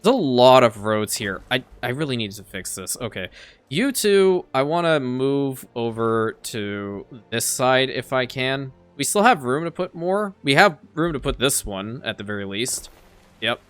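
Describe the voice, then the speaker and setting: Farsi-native guy rambling over his city builder, bright forward voice, trips on words and restarts, dry close sound. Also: mid-range voice, animated, measured pace, clear, resonant tone, dry recording. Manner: chatty, repeats words as he restarts sentences. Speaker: let's play creator. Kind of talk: casual gameplay commentary.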